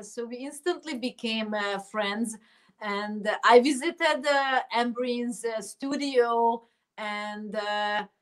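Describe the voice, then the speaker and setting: A woman hosting an online interview, asking quick, enthusiastic questions with fillers. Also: on the high side, fairly bright tone. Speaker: interview host.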